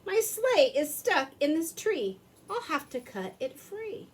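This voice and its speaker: A kindly, jolly old man from a Christmas storybook, acted out by a woman. He speaks in hearty, emphatic exclamations, in a raised voice that swoops widely in pitch. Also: big pitch swings, slow, exaggerated voice. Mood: dismayed. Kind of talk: children's storybook character reading.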